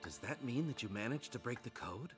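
A man voicing a calm, courteous, resolute adult ninja in an anime dub, earnest and composed. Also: mid-range voice, fairly quick, over music, lightly acted. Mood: courteous, assured.

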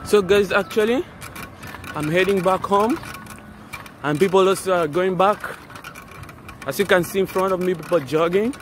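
A man's voice: high-pitched, normal speed, long pauses, slight background noise. A young man, casually vlogging outdoors while riding a bicycle and chatting straight to his viewers. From Kenya. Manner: chatty, keeps calling out to viewers as "guys". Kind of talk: casual vlog to camera. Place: outdoors on an open roadside. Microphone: phone held at arm's length.

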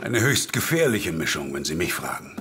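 A man's voice: sits low, very animated, moderate pace, bright, forceful tone, a little hiss or hum, full character voice. An actor playing a hard-boiled, cynical male American investigator, knowing and wary, in a German audio drama. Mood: grave, explanatory. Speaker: supporting actor. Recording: faint music behind the voice.

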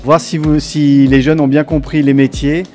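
A man's voice: on the high side, level tone, normal speed, dark tone. Man, hesitant, fair interview, handheld recorder, music behind. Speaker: quiz stand host. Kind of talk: interview at a trade fair stand. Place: indoor fair stand. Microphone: handheld recorder held by interviewer.

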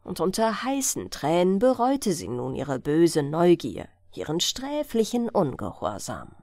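A woman reads a fairy tale as an audiobook narrator. Her delivery is unhurried and very melodic, with an expressive storyteller's phrasing. The recording is dry and close. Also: lowish voice, dry recording.

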